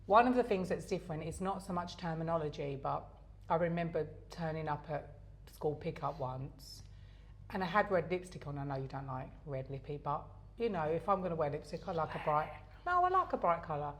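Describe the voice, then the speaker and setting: A middle-aged woman with a Southern English accent chats on a podcast, her voice sitting a little low. She rambles through anecdotes, filling pauses with "um" and "like" and restarting her sentences. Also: animated. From England. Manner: chatty storyteller with frequent fillers and restarts. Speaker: podcast co-host. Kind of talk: casual chat.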